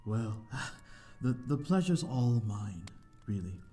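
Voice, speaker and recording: A man's voice: mid-range voice, sing-song, takes it slowly, warm tone, soft background music. A man playing a courteous, lonely vampire of an old manor in an ASMR audio roleplay, speaking in hesitant, formal, old-fashioned phrases. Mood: gracious, self-deprecating. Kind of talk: slow ASMR audio roleplay, addressing the listener.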